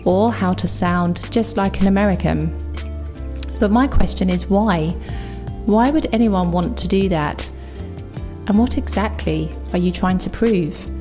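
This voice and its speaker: A woman with a London accent, an English teacher delivering a scripted, reflective video essay with clear opinions. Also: on the low side, a little mellow. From England.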